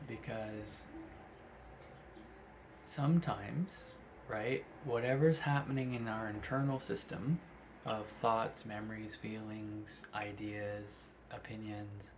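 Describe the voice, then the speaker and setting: A middle-aged man giving a thoughtful, unhurried spiritual reflection to his viewers, often asking "right?". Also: on the low side, a little hiss or hum. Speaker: vlogger.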